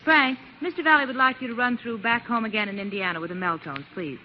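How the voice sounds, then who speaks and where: A woman's voice: fairly high pitch, fast, very noisy, close to an everyday voice. A woman playing a polite, efficient studio assistant in scripted 1940s radio. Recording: dull 1940s radio recording.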